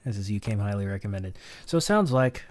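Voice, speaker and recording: A man's voice: lowish voice, lively intonation, fairly quick, darkish tone. A man teaching sound editing in a casual, think-aloud tutorial, with keyboard clicks behind him. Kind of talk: screen-recorded tutorial.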